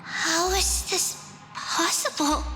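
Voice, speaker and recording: A woman's voice: extremely high pitch, fairly even intonation, slow, sharp tone, in a large hall, faint music behind, heavily acted, low-quality recording. An actress playing a small, childlike girl prophet with an earnest, careful manner in video game dialogue. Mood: astonished.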